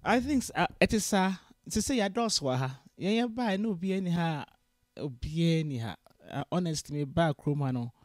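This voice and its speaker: A woman's voice: lowish voice, normal speed, no room sound, studio quality. A Ghanaian woman, a guest in an interview, who tells her story in a casual mix of English and Twi and acts out the dialogue with energy. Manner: switches freely between English and Twi, animated in retelling dialogue. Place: Canada.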